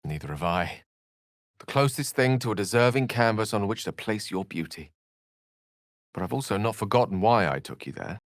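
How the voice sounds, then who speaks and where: A man's voice: fairly low pitch, animated, moderate pace, no echo, studio quality. A man voicing a courteous, self-assured wizard companion in a video game, articulate and wryly theatrical. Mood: earnest. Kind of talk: video game character voice lines.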